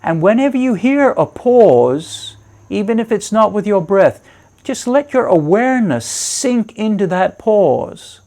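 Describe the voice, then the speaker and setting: A man leading a guided meditation as a teacher, giving measured, reassuring instructions with deliberate pauses. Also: high-pitched, sing-song, warm tone.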